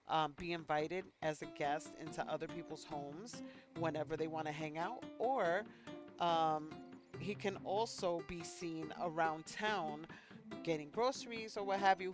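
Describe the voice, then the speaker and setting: A woman hosting a let's play, chatting casually and unhurriedly with fillers, a bit sniffly. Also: lowish voice. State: sniffly, slightly congested. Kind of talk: casual gameplay commentary.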